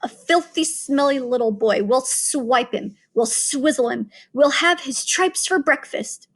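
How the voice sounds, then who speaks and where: A woman voicing a crowd of cruel witches, shrieking and gloating in excitement. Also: sits high, unhurried, full character voice. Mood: gleeful.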